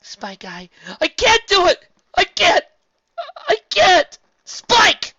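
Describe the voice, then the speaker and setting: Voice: medium pitch, brisk, bright, forceful tone, muffled recording, pitched above the performer's own voice. A reader voicing Twilight, a young female pony, anxious and resolute, who sobs, pleads and screams. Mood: screaming. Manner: tearful, pleading, then screaming.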